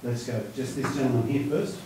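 A man's voice: medium pitch, a little fast, background noise. A middle-aged man moderating a panel, speaking thoughtfully with frequent restarts and fillers. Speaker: moderator, academic host. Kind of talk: panel conversation. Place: lecture room with a seated audience. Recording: roomy lecture hall, mic at a distance.

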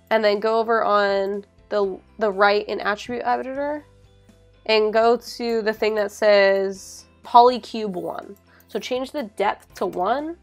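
A young adult woman narrating a software tutorial in a casual, informal way, often saying "like". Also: mid-range voice, vocal fry. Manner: informal, hedging with frequent "like". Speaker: tutorial presenter. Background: background music.

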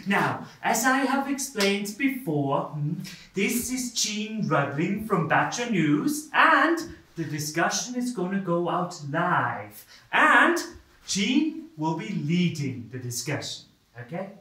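A man's voice: sits high, sing-song, slow, bright, forceful tone, exaggerated voice. An actor playing a fussy, dithering male professor in a stage play, heard from a far mic in a small stone-walled theater. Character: fussy male professor running a broadcast demonstration. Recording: roomy stage sound with faint noise.

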